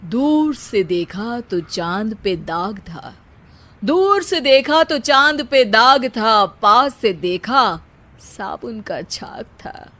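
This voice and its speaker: A woman's voice: on the high side, big pitch swings, slow pace, bright, forceful tone, exaggerated voice. A woman voicing a lazy, self-pitying young husband who declaims silly rhyming couplets in a comic cartoon story. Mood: theatrical, wounded. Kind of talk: comic animated Hindi moral story.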